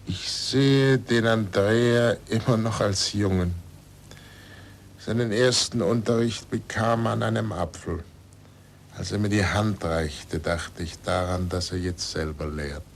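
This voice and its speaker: Slow, low-voiced old blind scholar. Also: male voice, fairly even intonation, vocal fry. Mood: tender, tired. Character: old blind scientist, weary, reflective, resolute. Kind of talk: 1940s German radio drama acting. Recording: noisy 1940s radio recording with rumble.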